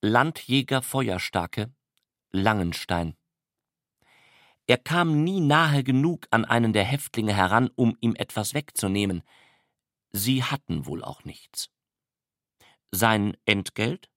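A man giving a scripted literary documentary narration with deliberate, measured phrasing. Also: lowish voice, expressive, a bit bright, no room sound, clean studio sound. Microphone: close studio microphone, dry.

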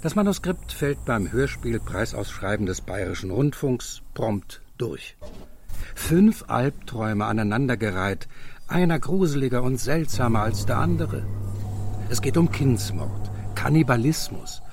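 A man narrating a scripted radio feature with a lively, slightly wry delivery. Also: mid-range voice, unhurried, slight background noise. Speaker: documentary narrator.

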